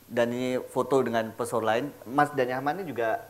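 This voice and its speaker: A man's voice: medium pitch, steady tone, brisk. A man hosts a casual celebrity interview. He is playful and slangy, and drops English words into his talk. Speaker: talk show host.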